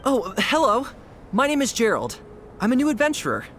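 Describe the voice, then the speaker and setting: An eager young male rookie from a fantasy game. He speaks politely in a high voice with wildly animated pitch, over faint background noise. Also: moderate pace, heavily acted. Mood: nervous greeting. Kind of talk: game voice acting.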